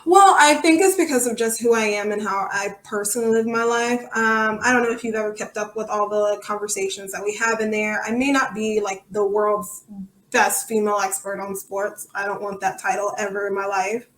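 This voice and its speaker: A woman speaks casually as an interview guest, informal and a little self-deprecating. Her pitch is average for a woman, and the room gives her voice a clear echo. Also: fairly even intonation, brisk. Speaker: podcast guest, soccer supporter. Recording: echoey room sound.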